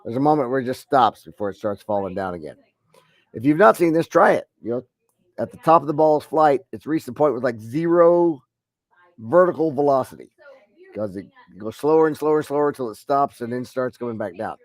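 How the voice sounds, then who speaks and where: A man's voice: mid-range voice, expressive, a little fast, dry recording. A middle-aged man with a head cold teaching an online math class, rambling with many fillers and restarts. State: head cold, deep congested voice.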